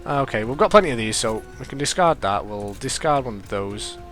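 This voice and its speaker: A man's voice: mid-range voice, brisk. Young male gamer, stuffed-up and sniffly, loose rambling commentary over faint background music. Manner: rambling, self-correcting, excitable reactions. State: congested, sniffly. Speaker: gaming commentator. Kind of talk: casual gameplay commentary.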